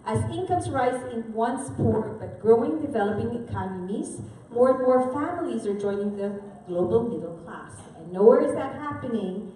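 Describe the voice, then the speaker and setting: A woman from the Philippines presents to a live audience in a relaxed, conversational way, often pausing to check in with the room. Her voice sits a little high and is picked up from well back, washed in heavy hall echo with faint ambient noise underneath. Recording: echoey hall from far off.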